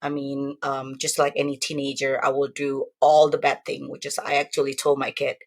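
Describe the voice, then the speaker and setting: A woman's voice: very deep voice, monotone, moderate pace, gravelly. A middle-aged woman from Indonesia with an Indonesian accent, telling her life story in a rambling, chatty way with many fillers as an interview guest. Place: home room with decorations behind her.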